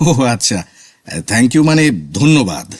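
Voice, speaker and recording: A man's voice: mid-range voice, very animated, unhurried. An actor playing an exasperated, politely impatient male writer who stammers and grumbles in a comic audio drama. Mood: politely awkward. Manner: flustered, stammering, grumbling asides.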